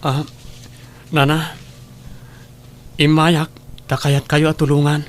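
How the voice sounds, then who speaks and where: A man's voice: a little high, monotone, takes it slowly. A man playing a kind, distressed adult son in an audio drama, speaking in an earnest, pleading way. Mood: earnest, reassuring. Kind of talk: Ilocano audio drama acting.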